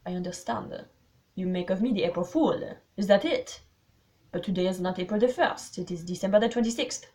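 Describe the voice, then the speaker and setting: Woman acting storybook male detective, elderly, French accent, formal, shrewd, grave, deliberate. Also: on the low side, a little mellow, lightly acted, poor audio. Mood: calm, firm. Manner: formal, deliberate, foreign phrasing.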